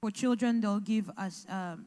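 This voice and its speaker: A woman interpreting consecutively into English, halting and restarting as she translates. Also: mid-range voice, dark tone. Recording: echoey hall.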